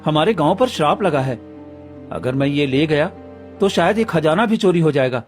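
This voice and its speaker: A man's voice: a little high, lively intonation, moderate pace, darkish tone, faint music behind, natural voice. A man playing an earnest, remorseful villager in a Hindi cartoon moral story. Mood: surprised, wary. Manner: pleading, earnest.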